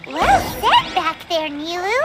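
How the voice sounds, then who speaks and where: A woman voicing a small, plucky, excitable child in a video game, in drawn-out exclamations. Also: very high-pitched, full character voice. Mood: admiring. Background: music.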